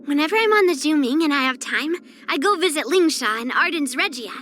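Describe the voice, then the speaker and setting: Game casting: young swordswoman, high bright girlish voice, blunt, proud, earnest with a touch of pout. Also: animated, fairly quick, slight background noise. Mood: matter-of-fact, confident. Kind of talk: character voice lines for an anime-style video game.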